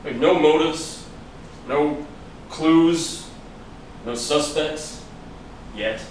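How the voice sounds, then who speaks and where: A man's voice: a little high, extremely slow. A man playing a teasing, probing detective in a staged reading, speaking in a conversational, musing way. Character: male detective, teasing, probing friend of another investigator. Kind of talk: stage acting at a script reading. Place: large room, staged reading recorded from afar. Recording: echoey room, far from mic, noisy.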